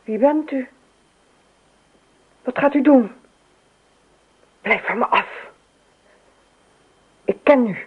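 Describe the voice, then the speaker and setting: A woman's voice: high voice, slow pace, pauses often, husky, full character voice. Dreamy, unsettled woman, thin radio sound. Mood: frightened, pleading. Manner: murmurs asides to herself, often near whispering. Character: dreamy married woman, eerily detached murderess, increasingly panicked. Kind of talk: radio play acting. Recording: muffled phone-like old radio recording.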